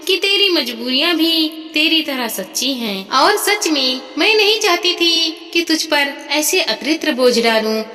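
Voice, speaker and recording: A woman voicing a kind, self-sacrificing elderly mother in a story, speaking gently and earnestly with affection. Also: high-pitched, sing-song, a little slow, hardly any pauses, heavily acted. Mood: apologetic, tender. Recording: long reverb, music behind.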